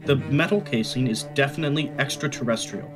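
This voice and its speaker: A man playing a puzzled scientist professor who speaks in a formal, measured way in a radio play. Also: mid-range voice, over music, lightly acted. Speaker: professor being interviewed. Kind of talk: radio drama acting.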